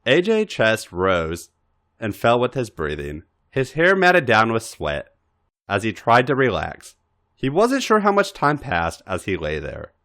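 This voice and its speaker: Deep-voiced man, very animated story reading. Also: unhurried. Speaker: podcast host reading fan fiction. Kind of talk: expressive narrated story reading.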